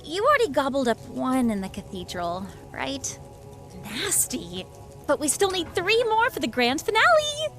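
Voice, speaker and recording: A woman's voice: high voice, wildly swooping pitch, moderate pace, a bit bright, soft background music, exaggerated voice. A woman playing a sardonic, playful rebel leader in a video game, with a breezy, teasing, theatrical manner. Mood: sarcastic, theatrical.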